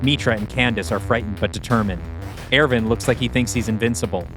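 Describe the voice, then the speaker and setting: A man's voice: medium pitch, fairly quick, over music. A man hosting a podcast, reading scripted scene directions as the narrator of an audio play.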